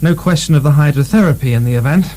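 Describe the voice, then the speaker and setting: An actor playing an earnest, precise nineteenth-century asylum doctor explaining things in a radio drama. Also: male voice, on the high side, fast, mellow, close to an everyday voice. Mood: speculating. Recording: 1990 radio broadcast, faint hum.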